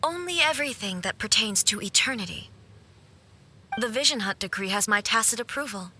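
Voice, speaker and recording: A woman playing an aloof immortal ruler in a video game, cold, stately and formal, with haughty deliberate delivery. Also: mid-range voice, lively intonation, sharp tone, a little hiss or hum. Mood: calm, resolute.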